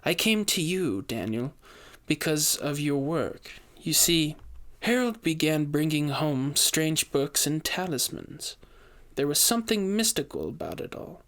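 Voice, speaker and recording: Man voicing a genteel, troubled society widow, raised pitch. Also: sing-song, unhurried, fairly bright tone, full character voice. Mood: fearful, pleading. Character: distressed, flattering high-society widow, grieving and secretive. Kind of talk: audio fiction character dialogue.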